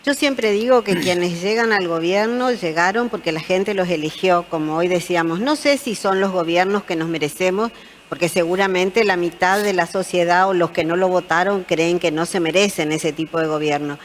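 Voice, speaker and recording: A woman speaks as a panel guest in a measured, deliberate way. Her voice sits fairly low, with a faint background noise. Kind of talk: TV panel discussion.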